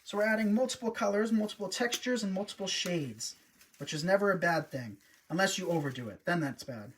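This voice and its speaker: A man's voice: high-pitched, lively intonation, a little fast, slight background noise. A man hosting a live wreath-making stream, chatty and upbeat, often talking to his viewers as he works. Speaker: host, wreath designer. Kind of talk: live craft demonstration.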